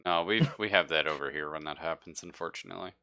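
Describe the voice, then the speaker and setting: A man's voice: rumbling low, fast talker, vocal fry, bright, forceful tone, clear, resonant tone. A man running a tabletop roleplaying game as game master, narrating casually. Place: live online game session.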